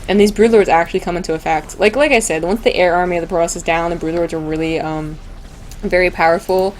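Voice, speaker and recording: A woman doing live, casual esports commentary in an excitable, slangy way, her voice a little tired from talking. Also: lowish voice, quick pace, slight background noise. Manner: gushing, excitable, slangy and informal. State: voice tiring, starting to go. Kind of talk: live video game commentary, casual and chatty.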